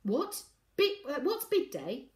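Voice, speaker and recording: A woman reader acts out a young girl in a storybook. Her voice is pitched high and moves freely, earnest and curious, always ready to question the grown-ups. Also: wildly swooping pitch, slow, exaggerated voice.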